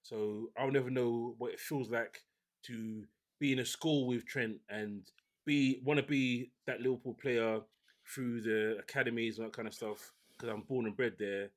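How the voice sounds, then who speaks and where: A man hosting a casual football podcast, talking in a relaxed, opinionated way with many restarts. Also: medium pitch, moderate pace. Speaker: podcast host.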